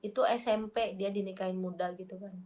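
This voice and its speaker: A woman's voice: mid-range voice, fairly even intonation, fairly quick, darkish tone. A young woman with a mixed Makassar accent, chatting and telling family stories on a video call, laughing easily. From Makassar, Indonesia. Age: young adult. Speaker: video chat stranger. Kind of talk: casual chat.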